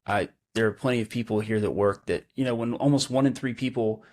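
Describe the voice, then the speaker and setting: A man's voice: lowish voice, fairly quick, darkish tone. A man hosting a local news podcast, chatting casually and working through numbers aloud. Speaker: podcast host. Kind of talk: casual chat.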